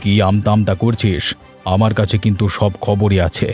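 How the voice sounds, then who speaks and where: A man's voice: fairly low pitch, little pitch movement, normal speed, a little mellow, faint music behind, close to an everyday voice. A man voicing a stern, domineering village headman in a cartoon, scolding and interrogating in a commanding manner. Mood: stern, accusing. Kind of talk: cartoon dialogue.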